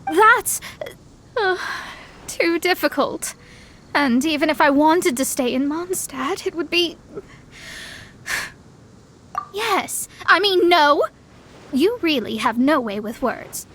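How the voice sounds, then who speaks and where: A woman's voice: sits high, animated, slow pace, pauses often, a bit bright, heavily acted. A woman playing a proud, dramatic young astrologer in a video game, haughty and easily flustered. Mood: gloomy, haughty.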